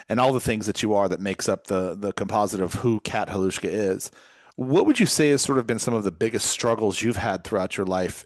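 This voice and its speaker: A man from California hosts a podcast, talking quickly in long, winding questions full of restarts and asides. His voice sits in a middle range, and the sound is dry and close, with no room around it. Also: fairly low pitch, no room sound. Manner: rambling, curious questions with frequent restarts. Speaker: podcast host. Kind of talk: podcast interview conversation.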